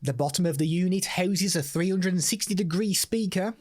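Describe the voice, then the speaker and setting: A man's voice: sits high, a little fast, over music. A man narrating a scripted product review as the reviewer.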